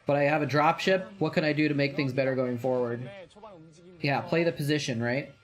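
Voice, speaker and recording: A man hosting a live stream, chatting casually and reacting excitedly to the game he is watching. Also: mid-range voice, brisk, faint music behind. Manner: chatty, rambling, gets excited and laughs at the action.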